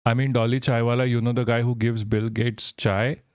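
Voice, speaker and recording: Smooth-voiced man streaming, dry close sound. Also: mid-range voice, flat delivery, moderate pace, darkish tone, dry recording. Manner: rambling, profane, jokey, reads chat aloud. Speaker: live streamer. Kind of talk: casual live-stream chat.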